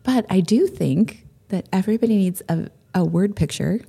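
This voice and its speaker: A woman with a raspy voice co-hosting a podcast, chatting enthusiastically and often repeating her words as she talks. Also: medium pitch, animated, moderate pace. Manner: enthusiastic, repeats herself, warm and gushing. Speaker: podcast co-host. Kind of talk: casual chat.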